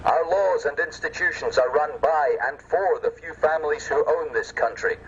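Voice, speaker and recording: A man's voice: on the high side, moderate pace. A man delivering a scripted election address in a declamatory, sloganeering way. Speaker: political campaigner. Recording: dull lo-fi audio, faint vehicle noise.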